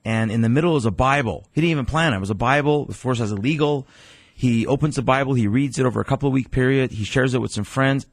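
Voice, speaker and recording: A man's voice: medium pitch, fast, no echo. A man in his forties, originally from Iran, a pastor speaking earnestly as a radio guest with frequent restarts.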